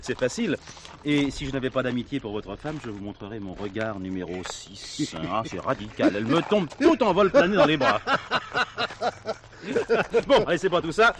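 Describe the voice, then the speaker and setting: Actor as suave playboy, very lively pitch. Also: male voice, moderate pace, lightly acted. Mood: jovial, boastful. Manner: playful, effusive, flirtatious. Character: suave, flirtatious playboy gentleman, theatrically charming. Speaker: actor in a stage play. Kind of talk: French boulevard theatre acting. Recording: noisy old theatre play recording.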